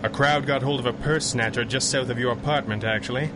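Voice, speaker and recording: An actor playing a resolute, articulate playboy crime fighter in a 1930s-style radio drama, measured and wry. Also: male voice, mid-range voice, fairly even intonation, noisy background, natural voice. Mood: measured briefing. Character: suave, resolute masked hero, wry billionaire playboy.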